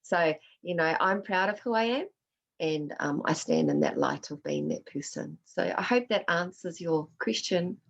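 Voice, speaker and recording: Woman from Australia leading a workshop Q&A; fairly low pitch, personal, reflective delivery with Māori words woven into her English. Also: sing-song, moderate pace, creaky, lo-fi sound. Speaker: workshop facilitator. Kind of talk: answering audience questions in a workshop.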